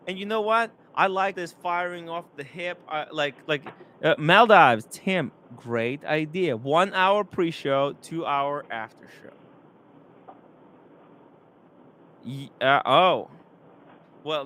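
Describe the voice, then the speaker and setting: A young man hosting a live stream, rambling and animated, reading chat comments aloud. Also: on the high side.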